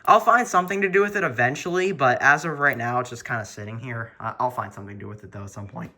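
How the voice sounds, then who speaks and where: A man's voice: mid-range voice, quick pace, pushed and bright. A man giving a casual show-and-tell as a hobbyist host, rambling and correcting himself, recorded on a handheld camera in a room at home. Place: small furnished room at home, indoors. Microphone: handheld camera or phone, behind the lens.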